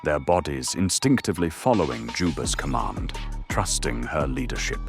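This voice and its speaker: A man narrates an audio drama in a deep voice with a very animated melody. The delivery is scripted and dramatic, with faint music behind. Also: moderate pace, creaky.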